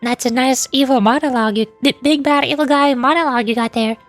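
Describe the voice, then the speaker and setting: Female streamer voice-acting game lines: high, playful, cartoonish read-along over faint music. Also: quick pace. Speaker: VTuber streamer reading game dialogue aloud.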